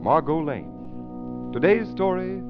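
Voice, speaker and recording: Animated 1930s radio announcer, man. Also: fairly high pitch, slow pace. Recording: dull 1930s radio broadcast, music behind.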